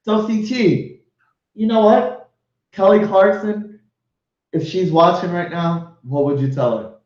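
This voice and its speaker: Male host, effusive and profane; high voice, echoey muffled audio. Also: slow pace, a little mellow. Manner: effusive, swears, laughs a lot. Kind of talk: casual live show chat.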